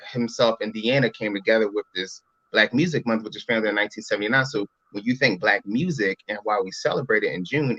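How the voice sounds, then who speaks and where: A man's voice: on the low side, fairly bright tone, dry recording. Late-30s man from Philly, casual interview guest, mid voice, rambling, dry muffled audio. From Philadelphia. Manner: rambling, repeats "you know what I'm saying". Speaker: interview guest, brand entrepreneur.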